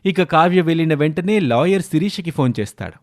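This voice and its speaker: Male storyteller with widely swooping pitch. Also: lively intonation, a little fast. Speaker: story narrator. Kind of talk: scripted narration of a family drama story.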